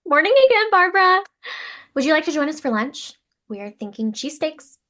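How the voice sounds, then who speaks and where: A woman's voice: sits high, very animated, fairly quick, pushed and bright, exaggerated voice. A woman playing an eager, slightly awkward young teacher in a sitcom table read, chatty and hesitant. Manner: chatty, hesitant, people-pleasing. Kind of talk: sitcom table read, mockumentary talking heads.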